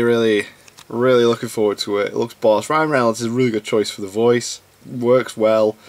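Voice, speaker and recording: A man hosting a reaction video, giving casual commentary in a loose, informal way. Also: mid-range voice.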